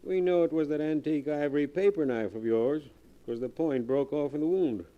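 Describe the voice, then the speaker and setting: An actor playing a stern, suspicious sheriff, brusque and insistent in 1940s radio drama style. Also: male voice, on the high side, a little fast, close to an everyday voice. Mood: accusing. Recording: dull, muffled old radio recording.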